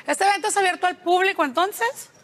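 A woman's voice: extremely high pitch, a little fast, fairly bright tone. A woman, hosting a live television interview. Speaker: TV show host. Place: indoor television studio. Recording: reverberant TV studio.

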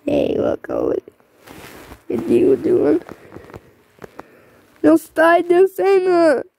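A woman giving excited, exclaiming commentary while playing a mobile bowling game. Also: very high voice, drawn-out words, vocal fry. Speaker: gamer.

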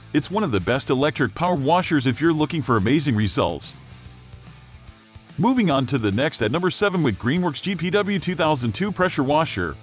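A man reading a scripted product review voice-over in an upbeat sales-pitch manner. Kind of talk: scripted promotional voice-over narration.

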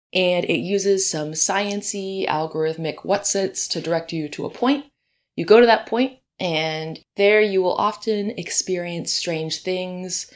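A woman hosting her own podcast, talking casually with chatty asides, her voice hoarse and a bit deeper in the early morning. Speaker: podcast host. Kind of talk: solo podcast monologue. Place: home room, birds outside.

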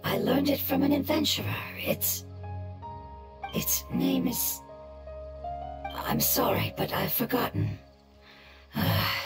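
A woman voicing a gentle, melancholy mushroom water spirit in a video game, with a breathy, whispery voice and soft, measured delivery. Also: fairly high pitch. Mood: wistful. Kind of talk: video game character acting. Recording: music under the voice.

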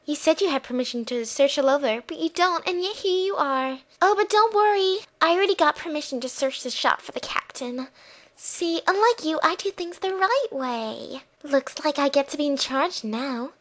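A self-assured young flight attendant from a video game, acted by a woman. She is officious and politely smug, with a bright voice. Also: sits high, expressive, moderate pace. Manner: polite, smug, matter-of-fact.

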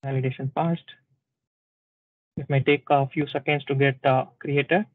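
A man presenting a live software tutorial at a tech community session, explaining step by step with many "uh"s and "okay"s and checking in with "right?". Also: medium pitch, fairly even intonation, unhurried, no echo. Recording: dry, thin phone-like sound.

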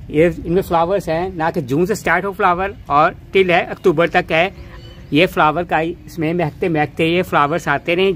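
Middle-aged male gardening host, high-set voice, rambling stop-start delivery, outdoor phone recording. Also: fairly bright tone, a little hiss or hum. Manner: explains step by step, pointing at pots and bulbs; repeats phrases, many restarts and filler words. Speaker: home gardening channel host. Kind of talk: hands-on gardening demonstration. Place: rooftop garden among potted flowers, outdoors. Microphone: camera phone held close, narrator off screen.

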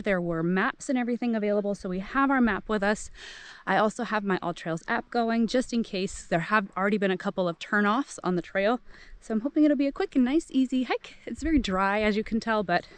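A woman's voice: medium pitch, a little fast, clean tone, no room sound. A woman in her thirties chatting casually about a hike, filmed on a phone held at arm's length outdoors. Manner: chatty, informal, with fillers. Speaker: vlogger. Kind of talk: casual hiking vlog narration. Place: outdoors on dry grassy hills, later inside a van. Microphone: phone camera at arm's length.

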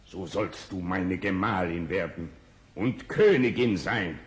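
A pompous, reverberant greedy king. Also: sits low. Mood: stern command. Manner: pompous, imperious, drawn-out words. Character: greedy, stern, commanding fairy-tale king. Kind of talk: 1960s German fairy-tale radio play. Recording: long reverberant hall sound.

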